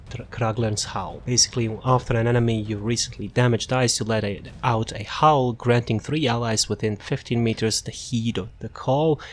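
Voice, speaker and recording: A man with a fairly low voice talks through a game guide in a casual, unscripted way. He explains things loosely and corrects himself along the way. Noticeable noise and game ambience sit behind his voice. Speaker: gamer presenting a character build guide. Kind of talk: casual gameplay guide commentary.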